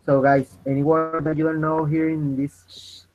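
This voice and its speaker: A man in his twenties with a Venezuelan Spanish accent, leading an online English practice chat in halting, restarting English. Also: on the high side, level tone, darkish tone, soft background music. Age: 20s. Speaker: chat moderator. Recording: dull lo-fi voice call with faint noise.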